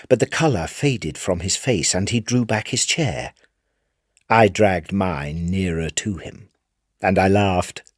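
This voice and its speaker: A low, very dry and close male voice a madman telling his own dark tale. His pitch swoops widely as he moves between hushed menace and fierce outbursts. The delivery is theatrical storytelling, gleeful and full of vengeance. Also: husky, dry recording, exaggerated voice. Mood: menacing glee. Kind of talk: dramatic first-person literary reading.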